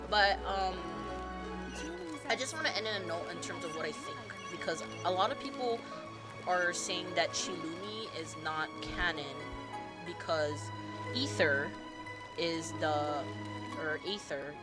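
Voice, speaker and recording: A woman streamer with a slightly low voice talks in a loose, gushing ramble, full of fillers and casual swearing. Music plays clearly behind her voice. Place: home streaming setup.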